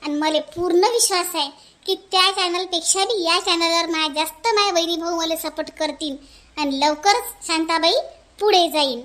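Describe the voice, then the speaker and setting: Brief: very high, chirpy cartoon village auntie from Vidarbha, voiced by a man, folksy, tags "हं?" onto lines, never gives up. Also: normal speed, bright, forceful tone. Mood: determined. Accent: Varhadi Marathi. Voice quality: folksy, chatty, adds "हं?" tag questions. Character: cheerful middle-aged village woman who never gives up. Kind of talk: cartoon voice acting.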